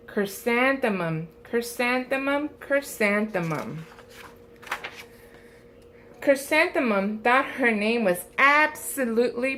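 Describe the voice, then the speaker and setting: A woman reading a picture book aloud to young children, with deliberate, expressive phrasing. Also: a little high, a little hiss or hum. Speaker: storybook reader for a first grade class.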